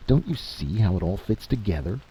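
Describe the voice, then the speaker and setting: A man voicing an exasperated, forceful general who argues emphatically and impatiently in a story reading, on a dull, noisy recording. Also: on the low side, a little fast, husky, voice pushed up, heavily acted. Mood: insistent. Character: exasperated, forceful male military general arguing his case. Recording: dull lo-fi audio with steady hiss.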